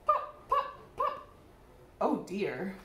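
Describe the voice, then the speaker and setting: A young woman reading a children's picture book aloud in an expressive, playful, drawn-out way. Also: mid-range voice, roomy sound, slight background noise. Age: young adult. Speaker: library staff storyteller. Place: small home room by a window.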